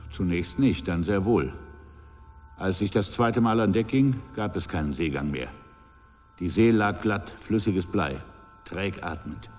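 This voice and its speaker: A grave sea captain gives testimony in a very low voice with long reverb around it. He speaks in stark, measured phrases, somber throughout, as in a radio play, with faint music underneath. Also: darkish tone. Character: grave male sea captain on trial, recounting his shipwreck. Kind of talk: German radio drama acting.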